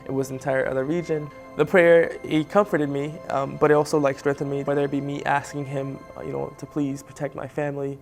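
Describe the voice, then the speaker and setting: A young man from Haiti sharing his personal story as a guest, earnest and pausing with fillers. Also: on the high side, little pitch movement, gravelly, soft background music. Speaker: spotlight guest. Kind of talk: personal testimony.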